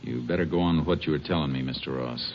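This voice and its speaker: An actor playing a calm Texas Ranger in a 1950s radio drama, quiet and businesslike. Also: male voice, very deep voice, fast. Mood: grave. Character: calm, low-voiced male Texas Ranger. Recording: muffled 1950s radio recording with light hiss.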